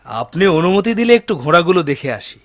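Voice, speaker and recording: Cartoon bandit chief, fairly high voice, courteous, ingratiating, sly merchant disguise. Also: male voice, sing-song, fairly quick. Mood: polite, ingratiating. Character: scheming male bandit chief posing as a polite oil merchant. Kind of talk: dubbed cartoon acting.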